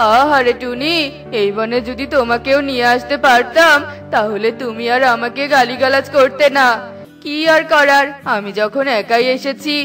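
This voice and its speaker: A woman voicing a kindly, hardworking male bird who works as a boatman, speaking gently. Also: sits high. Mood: wondering.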